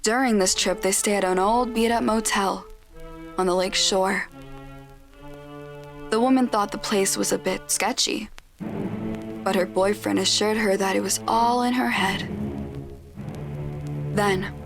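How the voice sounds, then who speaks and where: Woman as a teasing ghost-story girl, medium pitch, suspenseful delivery, audio drama, music underneath. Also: fairly even intonation, measured pace, long pauses, lightly acted. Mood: ominous.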